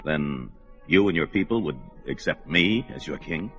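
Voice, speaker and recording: A brawny barbarian, very deep and bright-edged, with lively pitch. He speaks bluntly and gruffly. The recording is a dull old radio play with music. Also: normal speed. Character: brawny young male barbarian warrior, blunt.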